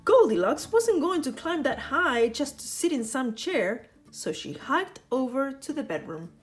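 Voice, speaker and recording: A woman reading a children's picture book aloud, playful and teasing as she tells it. Her fairly high voice climbs and dips in a lively way. Soft music plays behind her. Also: unhurried. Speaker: storyteller.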